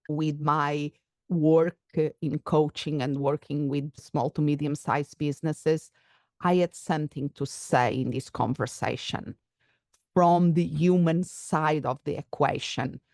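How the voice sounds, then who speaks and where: A woman's voice: low voice, darkish tone, no room sound, studio quality. A woman from Portugal with a Portuguese accent, a psychologist and coach explaining her views in a thoughtful conversational interview, with occasional restarts.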